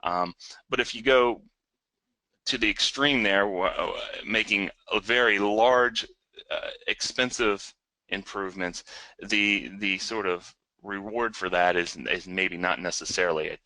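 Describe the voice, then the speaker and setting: Low, bright-voiced man presenting haltingly. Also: no room sound, low-quality recording. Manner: hesitant, halting delivery with repeated words. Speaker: webinar presenter. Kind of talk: unscripted technical presentation.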